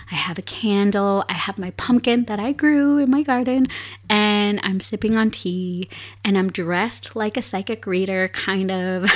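A woman hosting a podcast, her voice raspy and hoarse from a cold, chatting casually and reading a story aloud in a soft, unhurried way from her desk at home. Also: medium pitch. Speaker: podcast host. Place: home desk set up for streaming.

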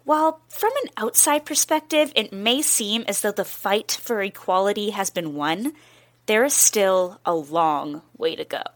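A young woman hosts and narrates a radio-style news feature from a written script. Her delivery is clear and even, and her voice sounds close and dry with no room around it. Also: medium pitch, measured pace, dry recording. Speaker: reporter and host.

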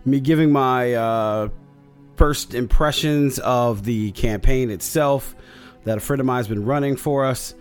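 A man with a slightly hoarse voice casually recapping his tabletop campaign as a solo podcast host, rambling with many fillers and restarts. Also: medium pitch, unhurried, soft background music.

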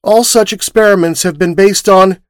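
A man's voice: high-pitched, expressive, moderate pace, no room sound, voice pushed up. A man reading aloud voices a grief-stricken but steely young woman with a strained, formal delivery.

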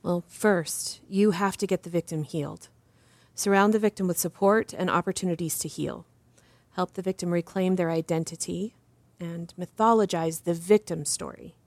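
A woman acting as an assured advocate interviewed in an audio drama, explaining things in a measured, didactic way. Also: fairly low pitch, fairly even intonation, a little mellow, no room sound, close to an everyday voice. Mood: composed, instructive. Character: composed, assured female advocate explaining justice reform. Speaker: interview guest.

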